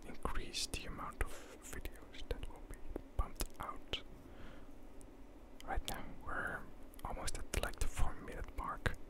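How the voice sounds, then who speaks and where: A man's voice: a little slow, low-quality recording. Young man rambling in an ASMR whisper. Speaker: ASMR creator. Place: at home.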